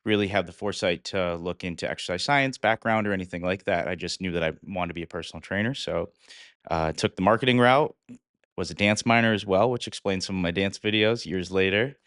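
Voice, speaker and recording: A man in his thirties from Connecticut talks through his coaching career in an earnest, casual way. His voice is very deep and smooth. The recording is dry and close to the mic. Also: a little fast, fairly bright tone, dry recording. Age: 30s. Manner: earnest storyteller with frequent "uh" fillers. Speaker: guest, fitness coach. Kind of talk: casual interview.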